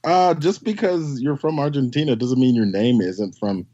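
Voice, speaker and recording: A man's voice: mid-range voice, moderate pace, dark tone, dull audio. A man co-hosting a casual podcast, teasing the others with dry wisecracks. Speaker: podcast co-host. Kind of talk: casual chat.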